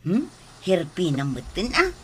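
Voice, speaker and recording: A woman's voice: lowish voice, huge pitch swings, measured pace, a bit bright, a little hiss or hum, full character voice. A woman playing a fussy, proud mother in an Ilocano radio comedy, drawling and exclaiming.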